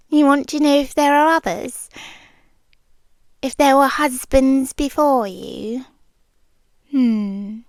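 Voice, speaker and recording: Casting: woman to play possessive British creature queen for ASMR roleplay; teasing, hushed delivery, extremely slow pace, close dry sound. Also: high-pitched, dry recording. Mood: sly, amused. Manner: slow, hushed, teasing, often dropping into whispers. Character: possessive immortal monster queen, amused and coaxing, wanting a human husband.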